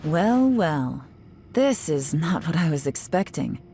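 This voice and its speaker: Low, mellow, composed game heroine. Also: female voice, sing-song, takes it slowly, a little hiss or hum, exaggerated voice. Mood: grave, reflective. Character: composed, shrewd adult woman investigating her clan's past. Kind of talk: video game voice acting.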